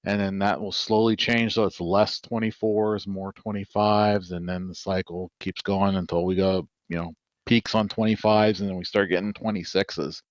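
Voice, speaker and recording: A man explaining technical matters informally as a podcast panelist, halting and matter-of-fact, on a dull recording. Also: low-pitched, steady tone, no echo. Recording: dull, band-limited audio.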